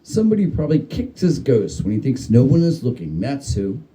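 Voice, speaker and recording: A man giving a dramatic reading of a humorous spooky story, with theatrical, deliberate phrasing. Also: medium pitch, wildly swooping pitch, dark tone. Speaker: story reader.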